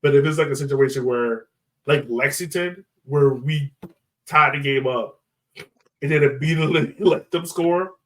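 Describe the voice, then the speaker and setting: A man's voice: a little high, moderate pace. A man hosting a sports podcast in casual, conversational soccer chat.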